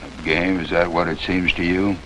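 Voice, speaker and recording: An actor playing a stern, proud cavalry commander in an old American TV western, lecturing in a commanding, condescending way. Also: male voice, low-pitched, level tone, moderate pace, a bit bright. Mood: proud, defiant. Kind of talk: mid-century American TV western acting. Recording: dull, noisy old TV soundtrack, rain.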